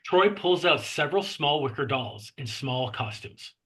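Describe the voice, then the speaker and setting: A man's voice: a little high, expressive, measured pace, a bit bright, slightly rough. A man reading the stage directions of a horror script aloud as scripted narration at a table read.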